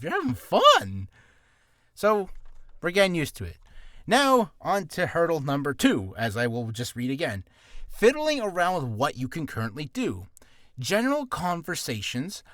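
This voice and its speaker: A man talks through beginner voice-acting tips in a loose, rambling way, nervous and quick to poke fun at himself. His pitch sits a bit high and swings widely as he talks. The sound is close and dry, recorded in a small, cramped room. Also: fairly bright tone, no room sound. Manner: nervous, rambling, self-deprecating. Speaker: voice actor giving beginner advice. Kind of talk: casual rambling advice vlog. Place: small hot confined room.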